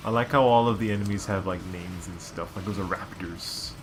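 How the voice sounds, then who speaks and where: A man's voice: low voice, a little fast, music in the background. A man giving casual game commentary, chatty and rambling as he explains things. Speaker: let's play co-commentator. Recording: noticeable background noise.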